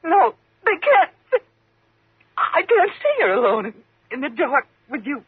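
A woman's voice: high voice, big pitch swings, measured pace, dry recording. An actress playing a nervous, fearful woman in a 1950s radio thriller, with a faltering, agitated delivery. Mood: terrified. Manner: faltering, agitated, breathy gasps. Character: nervous, fearful woman trapped with a menacing man. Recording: muffled, tinny 1950s radio recording.

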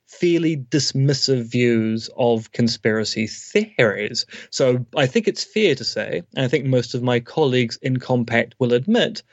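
A man's voice: medium pitch, no echo, muffled recording. A man, a philosophy academic, explaining his views at length in an interview with dry humour. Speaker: guest academic, philosophy professor.

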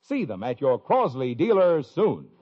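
An American man delivering an emphatic 1950s radio advertising pitch on a dull old recording. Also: sing-song, measured pace, slightly rough, faint music behind. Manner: emphatic, salesmanlike. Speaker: commercial announcer.

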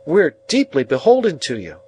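Man acting scolding haughty woman, raised pitch, curt and snappish, storybook character, faint noise. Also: huge pitch swings, normal speed, darkish tone. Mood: grateful. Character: sharp, scolding, haughty older sister, adult woman. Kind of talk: audiobook character voice.

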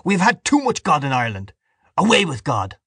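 A man playing an impassioned, defiant Irish nationalist in an audiobook, with a forceful, declamatory manner. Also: mid-range voice, animated, fast, husky, no room sound, clean studio sound, voice pushed up. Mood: furious defiance.

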